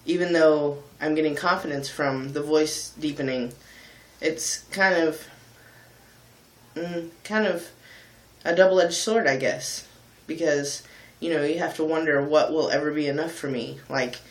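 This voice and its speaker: A man talking candidly in a casual vlog, thoughtful and pausing often with ums. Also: fairly high pitch, echoey room. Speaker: vlogger and singer. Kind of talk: casual reflective vlog talk.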